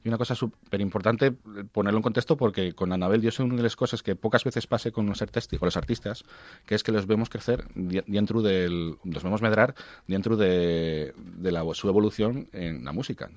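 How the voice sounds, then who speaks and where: A deep-voiced man with an Asturian accent talks informally about music as a radio guest. His delivery is halting, with words repeated while he looks for the next. The sound is close and dry. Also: a little mellow, no room sound, highs cut off.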